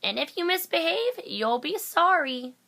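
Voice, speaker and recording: A woman's voice: very high voice, big pitch swings, measured pace, gravelly, sharp tone, pitched above the performer's own voice, full character voice. A woman voicing a stern, unpleasant witchy teacher from a storybook, hissing and snapping out bossy orders. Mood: strict, threatening. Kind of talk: storybook character voice.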